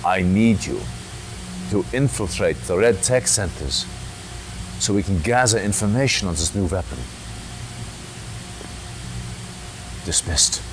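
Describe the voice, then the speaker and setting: An actor playing a stern, resolute military general in a game cutscene, giving orders with formal, clipped authority. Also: male voice, low-pitched, expressive, normal speed, over music. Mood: grave, resolute. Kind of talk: dramatic video game cutscene acting. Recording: long hall-like reverb.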